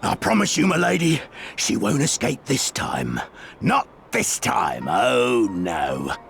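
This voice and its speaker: Unhinged gravelly henchman, wild swings in pitch, slow gleeful delivery. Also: huge pitch swings, a bit bright, a little hiss or hum, heavily acted. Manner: manic, swinging wildly in pitch. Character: gleeful unhinged young male henchman, eager for revenge.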